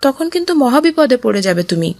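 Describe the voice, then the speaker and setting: A woman's voice: high-pitched, very animated, fairly quick, darkish tone, no echo. A woman voicing a kind, pious boy who earnestly admonishes others in a moral cartoon. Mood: earnest, reproving. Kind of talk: Islamic moral cartoon voice acting.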